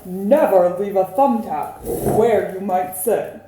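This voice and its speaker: A woman's voice: medium pitch, takes it slowly, noisy background, voice pushed down, natural voice. A woman voicing an earnest male police officer in a storybook, giving firm, instructive announcements. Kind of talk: storybook character voice in read-aloud. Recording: roomy, echoing sound.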